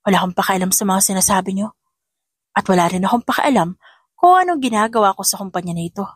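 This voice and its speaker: A woman's voice: medium pitch, quick pace, slightly rough, no echo, clean studio sound, lightly acted. A woman voicing a feisty young female janitor in an audio drama, cheeky and defiant, though polite to elders. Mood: defiant, irritated. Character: feisty young female janitor who fights back at bullies.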